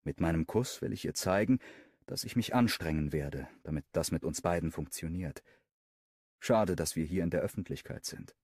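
Male audiobook narrator, very low husky voice, calm first-person reading. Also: moderate pace, no room sound, clean studio sound.

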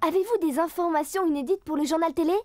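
Very high-voiced little girl reporter. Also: steady tone, fast, dark tone, faint music behind. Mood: urgent. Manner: crisp, mock-serious announcing. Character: earnest little girl playing self-important TV news reporter. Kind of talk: French cartoon dubbing.

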